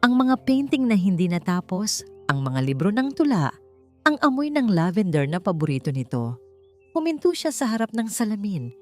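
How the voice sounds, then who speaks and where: A woman's voice: medium pitch, sing-song, normal speed, darkish tone. A woman reads a scripted dramatic story as its narrator. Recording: music behind the voice. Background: background music.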